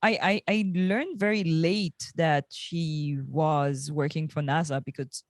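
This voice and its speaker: A woman from France, co-hosting a podcast in a measured, reflective, casual way with pauses. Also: deep voice, fairly even intonation, dry recording, studio quality. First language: French. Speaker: podcast co-host.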